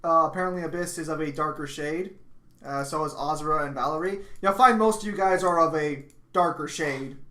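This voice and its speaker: A man's voice: on the high side, a little fast, pushed and bright. A man hosting a casual stream chat, rambling and stammering, often laughing.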